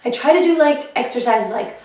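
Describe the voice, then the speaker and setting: A woman comedian chatting casually in a vlog about her shows, her voice a little hoarse and often clearing her throat. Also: sits high, expressive, brisk, a little mellow. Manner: chatty and upbeat, with fillers. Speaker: comedian vlogging her diary. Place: living room of a flat, indoors. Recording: echoey room, heard from a distance.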